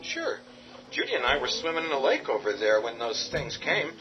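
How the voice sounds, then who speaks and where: A man's voice: medium pitch, big pitch swings, normal speed, lightly acted. An actor playing an earnest young man in a radio drama, speaking helpfully but a little hesitantly. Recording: muffled audio with loud music.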